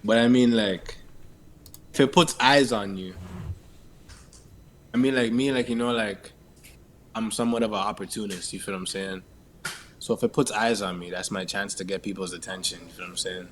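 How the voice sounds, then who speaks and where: A man with a Toronto accent answering interview questions in casual, sweary, slang-heavy talk, halting and leaning on repeated tag phrases. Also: on the low side, steady tone, a little hiss or hum. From Canada. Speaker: interviewee, musician.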